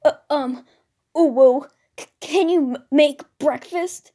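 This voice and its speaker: A young catboy master from an anime fan story, acted out by a woman, speaks in a very high, whiny voice. He stammers over his words, squeals and gets embarrassed at the slightest thing. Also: slow pace, mellow. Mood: flustered, embarrassed.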